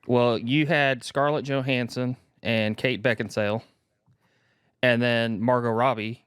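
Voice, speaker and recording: A man's voice: mid-range voice, steady tone, a little slow, a bit bright, smooth, dry recording, studio quality. A man co-hosting a podcast in an easygoing, casual, self-deprecating chat. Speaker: podcast co-host.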